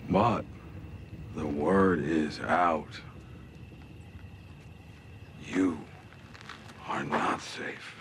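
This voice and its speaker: An actor with an American accent playing a smug, cruel middle-aged gang leader in a TV drama, drawling and mocking with deliberate pauses. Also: male voice, very deep voice, steady tone, heavily acted. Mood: calm menace. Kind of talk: television drama villain monologue. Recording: TV drama clip with noticeable background noise.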